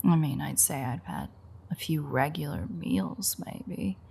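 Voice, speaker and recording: A woman playing a teasing, sardonic vampire in an intimate audio roleplay, with a wry, foul-mouthed manner and a breathy, husky voice. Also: sits low, very animated, a little slow, warm tone, exaggerated voice. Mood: dry, candid.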